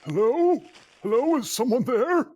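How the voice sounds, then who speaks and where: A man's voice: extremely high pitch, big pitch swings, measured pace, darkish tone, full character voice. A man playing a lost, half-starved gnome in a comedic audio drama, stammering and hesitant. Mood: forlorn, hesitant.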